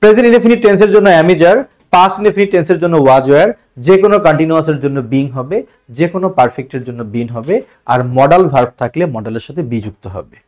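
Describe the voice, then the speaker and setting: Higher-pitched Bangladeshi man teaching. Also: fast talker, a little mellow. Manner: repetitive, step-by-step explaining with rhetorical questions. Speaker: English grammar teacher. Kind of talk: instructional lesson for exam students.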